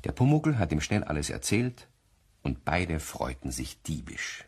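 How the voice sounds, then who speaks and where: Deep, husky male storyteller, very animated. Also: measured pace. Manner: expressive storyteller. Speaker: narrator. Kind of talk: storytelling narration for a children's radio play.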